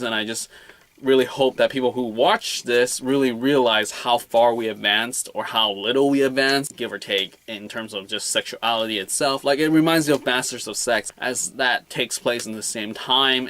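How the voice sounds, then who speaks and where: A man's voice: mid-range voice, normal speed, a bit bright. Man giving a casual, rambling review. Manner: rambling, colloquial, repeats words and phrases. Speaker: film reviewer. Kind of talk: casual unscripted movie review.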